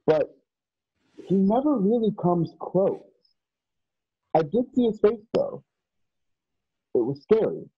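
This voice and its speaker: A man playing an earnest, anxious young man in a radio drama, with a husky voice and a hesitant, stammering delivery. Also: high-pitched, sing-song, slow, long pauses, no room sound, heavily acted. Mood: curious, tentative. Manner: hesitant, stammering, repeating words. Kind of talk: online radio drama acting. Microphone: video call, home setup. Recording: dull lo-fi video call.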